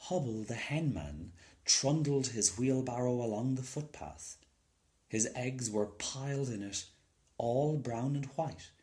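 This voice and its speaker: Guy reading a kids story slowly, voice goes up and down a lot. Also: medium pitch, lively intonation, a little mellow. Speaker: storybook reader. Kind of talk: scripted children's story narration.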